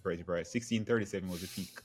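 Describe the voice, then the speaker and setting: A man chiming in with quick figures during a casual chat about stocks. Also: sits low, a little hiss or hum. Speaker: podcast co-host.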